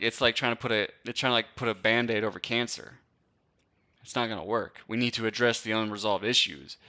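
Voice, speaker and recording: A young man from Wisconsin hosts a casual live-stream Q&A, his voice bright and crisp and pitched a little low. He talks loosely and slangily, tossing in "dude" and "bro" and laughing often. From Wisconsin, USA. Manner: laid-back slangy chat, lots of "dude" and "bro", frequent laughs. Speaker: host.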